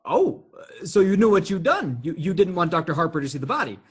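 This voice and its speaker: Man acting frantic, husky-voiced, stammering nephew. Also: high-pitched, very animated, brisk, heavily acted. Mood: shocked disbelief. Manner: flustered, stammering, exclamatory. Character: frantic, appalled nephew of two elderly aunts. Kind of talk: stage comedy radio theatre acting.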